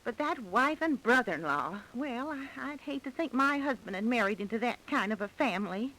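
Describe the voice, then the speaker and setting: A woman's voice: a little high, lively intonation, brisk, bright, forceful tone, poor audio. An actress playing a worried, nosy middle-aged housewife in a 1930s radio drama, hesitant and folksy in her talk. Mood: anxious, gossipy. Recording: vintage 1930s radio broadcast.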